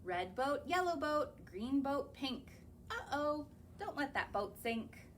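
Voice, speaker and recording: Slow, sing-song woman reading to kids. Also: sits high, big pitch swings, slight background noise. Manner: sing-song, slow, coaxing, asks the children questions. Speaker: children's librarian. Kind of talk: reading picture books aloud to young children. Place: library or home, recorded on camera.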